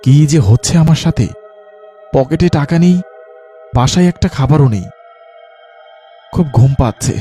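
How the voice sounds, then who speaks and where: A man's voice: medium pitch, expressive, normal speed, pauses often, mellow, faint music behind, natural voice. A man playing a broke, hungry, brooding street mugger in a cartoon story. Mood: weary, troubled. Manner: grumbling, brooding. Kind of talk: Bengali cartoon voice acting.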